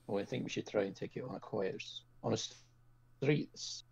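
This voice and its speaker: A man's voice: lowish voice, flat delivery, fast talker, creaky, a little mellow, breathy edge. A man playing a tabletop roleplaying game, talking casually in character, on muffled audio. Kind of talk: casual in-character planning talk.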